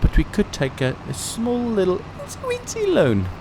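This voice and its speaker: A man's voice: high voice, huge pitch swings, unhurried, noisy background. A man who is not a native English speaker gives casual gameplay commentary as a let's play host, thinking aloud with playful asides.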